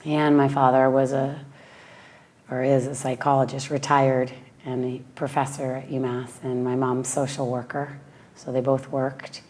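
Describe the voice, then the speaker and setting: A woman from Western Massachusetts with a raspy voice, a yoga teacher speaking slowly and thoughtfully in a heartfelt personal interview. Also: extremely low pitch, flat delivery, darkish tone. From Western Massachusetts, USA.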